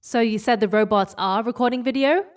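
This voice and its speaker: A woman's voice: on the high side, fairly quick, close to an everyday voice. A woman playing an earnest, questioning crew member in a science fiction audio drama.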